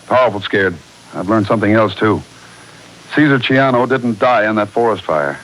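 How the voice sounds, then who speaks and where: An actor playing a steady, shrewd cowboy hero, firm and earnest, in a 1940s radio Western. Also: male voice, fairly low pitch, normal speed. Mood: grave, resolute. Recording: hissy, noisy 1940s radio recording.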